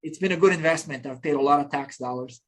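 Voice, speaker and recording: A man in his fifties from Bosnia, telling his story as an interview guest in a relaxed, reflective way with frequent restarts. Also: fairly high pitch, quick pace. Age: 50s. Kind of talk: casual conversational storytelling.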